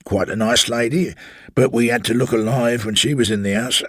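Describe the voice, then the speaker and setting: Man acting middle-aged former manservant, storybook reading, raspy voice, lifted above the narrator's low pitch, garrulous, insistent, deferential, uneasy. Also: fairly even intonation, fairly bright tone, no room sound, studio quality. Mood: confiding, grumbling. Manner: rapid, insistent, respectful, confiding. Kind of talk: dramatised literary reading.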